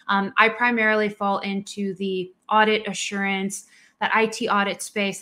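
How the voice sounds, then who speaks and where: A woman's voice: mid-range voice, sharp tone. Podcast co-host, woman, middle-aged, Spanish native speaker, friendly chat, lots of ums. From Colombia.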